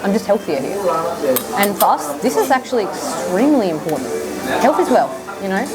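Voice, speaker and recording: A woman's voice: mid-range voice, very animated, moderate pace, loud music behind the voice, a loud crowd, rough recording. Chatty Australian woman vlogging. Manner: chatty, rambling, lots of "like" and "I guess". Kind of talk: casual vlog talk to camera.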